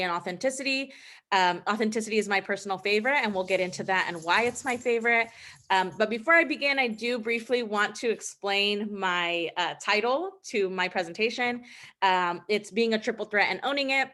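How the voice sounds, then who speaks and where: A woman's voice: medium pitch, fairly bright tone. A woman in her twenties giving a casual online talk from home as a guest speaker, chatty with frequent ums. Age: 20s. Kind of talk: online presentation.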